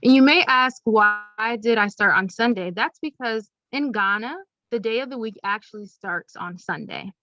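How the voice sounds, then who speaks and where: Bright, upbeat woman teaching children. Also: on the high side, normal speed, few pauses, dry recording, highs cut off. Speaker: presenter to schoolchildren. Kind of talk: recorded classroom presentation.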